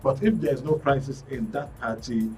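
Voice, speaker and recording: A man hosting a live call-in radio talk show, briskly taking callers and guests with quick acknowledgements. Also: mid-range voice, far from the mic, music in the background. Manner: brisk, cuts in with short acknowledgements. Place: radio studio.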